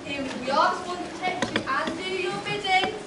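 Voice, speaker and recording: A woman playing an eager, cheeky comic palace guard in a pantomime, brisk in manner. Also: very high voice. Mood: dutiful. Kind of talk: pantomime stage acting. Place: indoor stage of a small theatre. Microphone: distant audience camera. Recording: very echoey, noisy theatre hall, distant.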